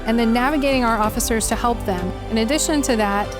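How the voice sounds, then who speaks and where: A woman's voice: a little high, fast talker. A woman on emergency call staff explaining services in an interview. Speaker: 911 staff member. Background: background music.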